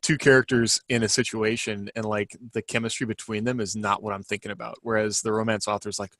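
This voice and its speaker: A man chatting casually as a podcast panelist, talking in a halting, self-deprecating way. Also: lowish voice, level tone, fairly bright tone, dry recording. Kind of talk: casual chat.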